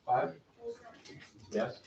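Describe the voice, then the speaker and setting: A man teaching a math class, giving loud, direct, brisk instructions to his students. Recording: echoey classroom, dull lo-fi sound.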